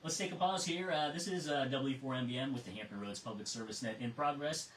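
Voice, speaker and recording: A middle-aged or older man acting as net control on a ham radio net, talking casually through check-ins and often halting to repeat words. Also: medium pitch, fairly bright tone. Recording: roomy, distant mic, faint noise.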